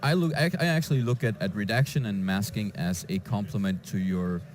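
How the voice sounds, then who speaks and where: A man from Denmark, a database developer answering interview questions in a conference lounge, with frequent halting restarts. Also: fairly low pitch, a little hiss or hum. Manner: hesitant, with many restarts and fillers.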